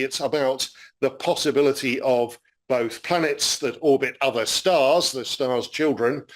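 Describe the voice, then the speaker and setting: A man's voice: mid-range voice, rough recording. A man giving a public astronomy lecture, friendly and explanatory, with frequent fillers as he talks through slides.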